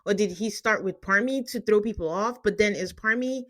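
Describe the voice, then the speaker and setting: A woman's voice: medium pitch, normal speed, fairly bright tone, no room sound. Chatty woman podcaster, dry close sound. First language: not English. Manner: chatty, laughs often, many fillers. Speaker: podcast co-host. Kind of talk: casual chat about a reality show.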